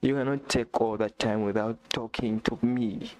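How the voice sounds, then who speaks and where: A man from Uganda, not a native English speaker, chatting excitedly on a live stream, repeating himself and talking straight to a viewer. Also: medium pitch, moderate pace. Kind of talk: casual live-stream chat. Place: indoor room.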